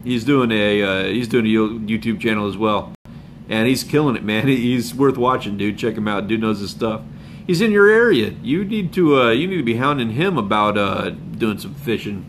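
A man hosts a fishing channel live stream from home, chatting loosely with viewers and sounding hoarse from a cold. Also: on the low side, animated, moderate pace, a bit bright, a little hiss or hum. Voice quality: rambling, laid-back, with chuckles and filler words. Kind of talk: casual live stream chat. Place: home room with bookshelves and a window. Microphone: phone camera up close.

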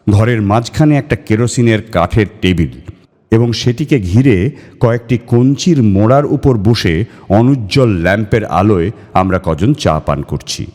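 A male storyteller narrates a ghost story in a low voice. His delivery is measured and expressive, building suspense. Long, hall-like reverb trails his words.

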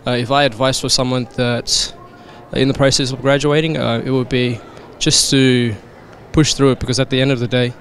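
A man's voice: mid-range voice, level tone, moderate pace, hardly any pauses, slight background noise. A young man, a new graduate, giving informal advice into a handheld microphone. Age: young adult. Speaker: graduating student.

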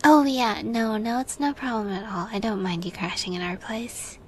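A woman playing a shy, sweet young catgirl roommate in a girlfriend roleplay, soft-spoken and hesitant, often slipping into a whisper. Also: mid-range voice, steady tone, moderate pace, close to an everyday voice. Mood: friendly, casual. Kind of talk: girlfriend roleplay audio acting.

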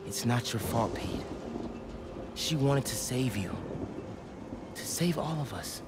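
An actor playing an earnest, loyal young American superhero in a video game, speaking informally and with urgency. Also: male voice, mid-range voice, expressive, takes it slowly, heavy background noise. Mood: quietly consoling, resolute. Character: earnest, loyal young male superhero rallying his mentor. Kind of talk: video game cutscene acting.

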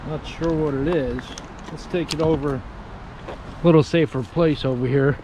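A man in his forties talks to his viewers in an upbeat, chatty way while he works outdoors on an old railroad bridge. His voice sits a bit high. The wearable action camera picks up clear background noise from outside. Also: fairly even intonation, measured pace. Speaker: magnet fishing vlogger. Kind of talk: casual outdoor vlog narration. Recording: noisy outdoor action-cam audio.

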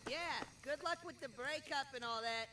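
A man's voice: very high-pitched, moderate pace. A man playing a cocky, foul-mouthed bandit in a fantasy audio roleplay, with a slangy, mocking, wisecracking way of talking. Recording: noisy, with night crickets behind. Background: crickets, night forest ambience.

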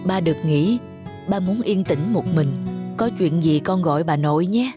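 A woman voicing a grieving young father in an animated dub; she speaks softly and low, with music playing under her. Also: moderate pace, close to an everyday voice. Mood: weary. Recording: studio voice over music.

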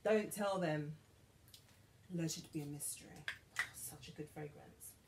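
A British woman in her thirties chatting to camera in a beauty haul vlog, animated and dropping to whispers for asides, filmed in her dressing room. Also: sits low, measured pace, creaky, slight background noise. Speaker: beauty vlogger. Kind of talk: casual haul chat to camera. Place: bedroom dressing room with vanity, indoors.

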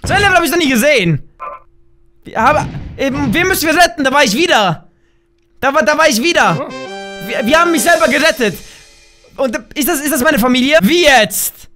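A hoarse young man in his twenties, loudly and playfully commentating a game from a small room at home, talking into a desk mic while wearing a headset. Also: very high voice, animated, fairly quick, pauses often, faint music behind. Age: young adult. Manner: loud, shouty, laughing, playful exclamations. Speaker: gaming YouTuber. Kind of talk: excited gameplay commentary.

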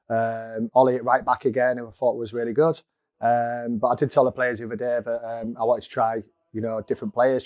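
A football manager in his forties gives a post-match interview indoors. His voice sits level with little rise and fall, and he talks in a measured way, with many ums. The sound is dry and close from a clip-on mic. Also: medium pitch, darkish tone, no room sound. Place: small indoor room in front of a sponsor board. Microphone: lapel mic.

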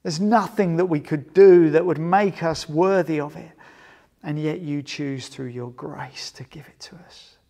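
A man in his forties, a pastor leading communion, speaking earnestly in short phrases with pauses and soft trailing endings. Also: fairly high pitch, gravelly. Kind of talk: prayer and scripture reading for church service. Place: large empty indoor sports hall. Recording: echoey sports hall with long reverb.

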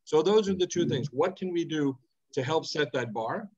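A middle-aged man speaking from a home office over an earphone mic, asking a long, deliberate question with emphatic repetitions. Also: a little high, lively intonation, darkish tone, husky, muffled recording. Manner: deliberate, emphatic, with many repeated words. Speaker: audience member asking a question. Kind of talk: earnest spoken question on a video call.

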